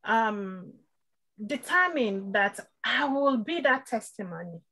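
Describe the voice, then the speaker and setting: A woman with a high voice speaks over a video call. She is a student in an IT program and gives a devout, grateful testimony, warm in faith and quick to laugh softly. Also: animated, poor audio. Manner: heartfelt and devout, with short laughs. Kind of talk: thankful testimony on a webinar.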